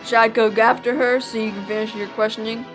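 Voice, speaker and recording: A woman's voice: fairly high pitch, fairly even intonation, brisk, soft background music, natural voice. A woman playing an earnest, eager young male detective's sidekick in a comic radio drama, speaking dramatically.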